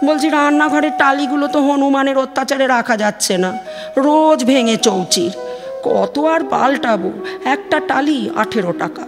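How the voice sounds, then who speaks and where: A high-pitched, nagging mother from a village , acted by a woman, questions and presses in colloquial West Bengal speech. She is a worrier in an audio play. Her voice rings in long reverb over loud music. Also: moderate pace. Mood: coaxing, fretful. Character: anxious, nagging, needy village mother on the phone.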